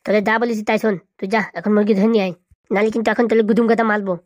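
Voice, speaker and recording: A woman's voice: medium pitch, level tone, rapid-fire, darkish tone, no echo, studio quality, close to an everyday voice. A woman voicing a bossy little cartoon boy who leads a gang and bullies others with a childish lisp. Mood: bossy, threatening. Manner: bossy, threatening, scolding. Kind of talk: comic cartoon dialogue.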